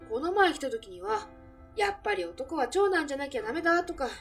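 Woman acting high lively voiced cafe barmaid, friendly, a little teasing, radio drama, faint music behind. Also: fairly quick, distant mic. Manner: expressive, gently teasing, feminine speech. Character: friendly, teasing café barmaid, reticent about herself.

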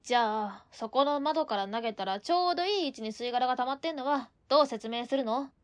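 A woman acting a high-voiced, feisty teenage girl. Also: quick pace, no echo, natural voice. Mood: scolding. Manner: scolding, bossy, cheeky. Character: strict, feisty eighteen-year-old landlady girl, exasperated by lodgers. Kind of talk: Japanese radio drama acting.

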